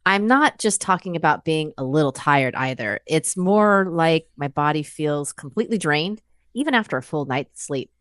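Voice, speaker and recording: A woman acts out a worried female patient in her mid-fifties. Her voice sits a little low but swings expressively as she earnestly describes things in a conversational way. The recording is dry and free of room sound. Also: expressive, moderate pace, a bit bright, no echo. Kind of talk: acted medical consultation for exam practice.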